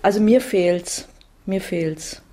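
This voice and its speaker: A woman's voice: on the low side, slow pace, mellow. A woman reminiscing in conversation in a reflective way, searching for her words as she goes.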